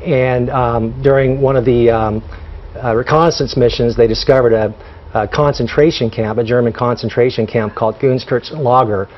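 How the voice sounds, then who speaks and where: A man speaking in a mid-pitched voice, stopping and restarting with plenty of "um"s as he tells a family story. His voice comes through slightly dull broadcast audio. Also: fairly even intonation. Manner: halting, many fillers and restarts. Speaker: guest, son of honored general. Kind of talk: TV studio interview.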